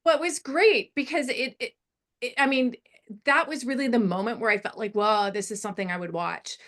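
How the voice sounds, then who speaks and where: A middle-aged woman giving casual, animated and encouraging feedback on a screenplay, often wandering off her point. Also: a little high, fairly quick. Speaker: script feedback panellist.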